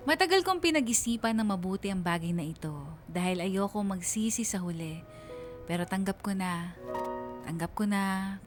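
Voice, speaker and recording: A woman's voice: mid-range voice, lightly acted. An actress playing a wistful young woman confiding her love story in first-person radio drama narration. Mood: sad, resigned.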